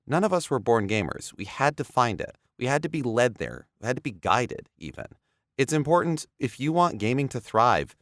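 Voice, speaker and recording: A man's voice: fairly low pitch, a bit bright, no echo, clean studio sound. A man hosting a gaming podcast, opening the episode with an earnest, emphatic delivery. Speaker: podcast host. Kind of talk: podcast intro and chat.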